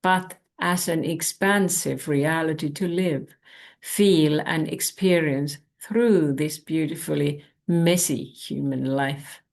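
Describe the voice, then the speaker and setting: A woman whose first language is Finnish, hosting a spiritual channel and speaking in a calm, measured, contemplative way. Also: low voice, creaky. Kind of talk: reflective spiritual talk.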